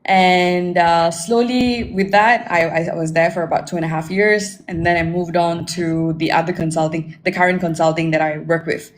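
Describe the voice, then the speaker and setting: A woman's voice: fairly low pitch, steady tone, moderate pace, hardly any pauses. A forty-year-old woman with a Malaysian accent, talking conversationally about herself to listeners and checking in with them as she goes. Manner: conversational, addresses listeners, tags statements with "right?". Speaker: interview guest, marketing technology consultant. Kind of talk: informal self-introduction and career account. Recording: echoey room with faint background noise.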